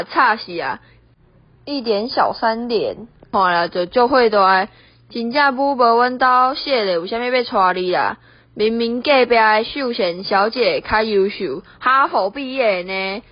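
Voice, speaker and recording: Radio play: scolding middle-aged Taiwanese mother-in-law, highish voice, nagging , played by a young woman, dull lo-fi sound. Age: young adult. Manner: nagging, switches between Hokkien and Mandarin. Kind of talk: amateur radio play acting. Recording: dull, lo-fi, roomy home recording.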